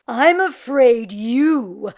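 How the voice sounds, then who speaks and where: A woman voicing a kindly, solemn old male rabbi in a storybook, with slow, emphatic, drawn-out pronouncements. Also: on the high side, animated, a little mellow, full character voice. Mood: grave. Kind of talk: storybook character voice.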